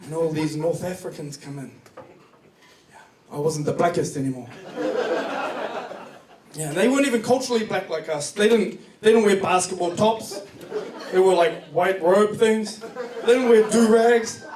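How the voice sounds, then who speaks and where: Male stand-up comic, New Zealand accent, high voice, profane storyteller, recorded from a distance in a club. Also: normal speed, long pauses, darkish tone. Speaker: stand-up comedian. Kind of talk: stand-up comedy set. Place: comedy club stage before a live audience. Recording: distant echoey club recording, noisy laughing crowd.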